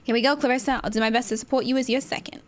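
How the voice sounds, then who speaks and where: A woman voicing an earnest, supportive young female arena fighter, reading video game dialogue aloud in measured, clear phrases. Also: on the high side, steady tone, natural voice. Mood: earnest, encouraging. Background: game music.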